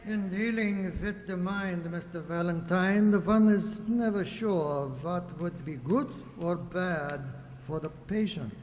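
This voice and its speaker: Man acting a formal doctor, higher-pitched, echoey. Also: darkish tone, faint music behind. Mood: polite. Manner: formal, measured, lecturing. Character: smooth, formal, condescending male psychiatrist. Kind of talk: old-time radio drama acting. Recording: echoey hall, background noise.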